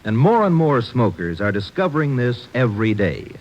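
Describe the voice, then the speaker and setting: A man's voice: very animated, moderate pace, darkish tone. A man with an American accent announcing a 1950s radio commercial in a polished, emphatic style. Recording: muffled old radio recording, faint rumble.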